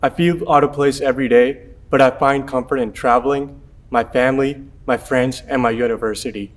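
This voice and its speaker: A man's voice: medium pitch, fairly even intonation, brisk. A man, a student, delivering a scripted personal monologue. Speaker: student speaker.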